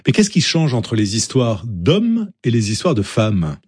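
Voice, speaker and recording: A man's voice: mid-range voice, huge pitch swings, a little slow, a little mellow, slightly rough, dry recording, clean studio sound. A man working as an interviewer, putting questions in a conversational way.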